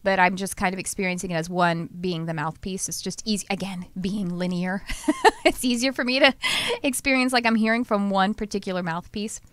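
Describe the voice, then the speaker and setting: A woman with a Texas accent hosting a podcast, talking casually and lively, often laughing and speeding up in bursts. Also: medium pitch, brisk, smooth, dry recording. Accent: Texan. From Southern US. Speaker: podcast host and channeler. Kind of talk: casual conversational podcast chat.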